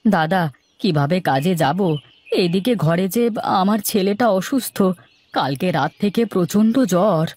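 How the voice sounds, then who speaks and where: A man's voice: sits high, lively intonation, moderate pace, a little mellow, no room sound. A man playing a devoted, anxious village father and husband in a cartoon story, speaking in a fond, earnest, fretful way. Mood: worried.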